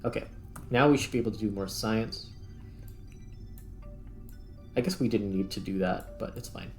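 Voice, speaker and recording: A man with a fairly low voice narrates a video game he is playing, with music underneath. He talks casually and unscripted, working through each step out loud as he goes. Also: fast talker. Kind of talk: casual gameplay commentary, thinking aloud.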